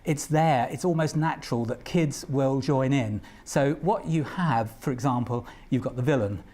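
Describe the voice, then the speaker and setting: A man's voice: mid-range voice, moderate pace, mellow. A man giving an explanatory interview, speaking emphatically and repeating phrases for stress. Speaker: pantomime commentator.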